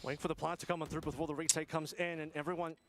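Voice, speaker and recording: Rapid-fire male esports caster. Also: on the high side, a crowd in the background. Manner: rapid, steady analytical delivery. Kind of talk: play-by-play commentary.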